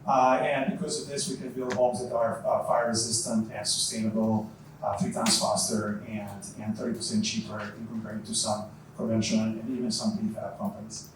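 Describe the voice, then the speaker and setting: A man from Croatia introduces himself on a panel, speaking haltingly and often filling pauses. He sits well back from the microphone in a very echoey room. Clear background noise runs under his voice. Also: lowish voice, level tone, recorded from a distance. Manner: halting, many fillers. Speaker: startup founder on panel. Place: event venue.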